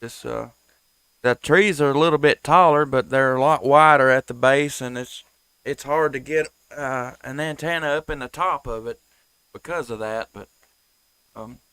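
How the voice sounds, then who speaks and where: Guy, clean smooth voice, real dry close mic, just casually talkin radio stuff. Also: medium pitch, moderate pace, a bit bright, dry recording. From Tennessee. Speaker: ham radio operator, live stream host. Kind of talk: casual ham radio chat.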